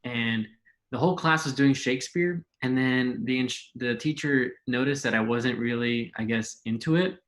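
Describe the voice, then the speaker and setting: An easygoing young man from Texas talks in an interview, pausing often on small fillers. His voice sits at an ordinary middle pitch. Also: fairly bright tone. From Texas, Mexican American. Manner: easygoing, hesitant, many uh and um. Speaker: guest, writer and actor. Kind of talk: casual interview.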